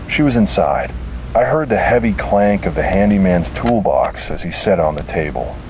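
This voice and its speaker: Male, deep pitch, scripted horror narration, grave dramatic delivery, noisy hum behind.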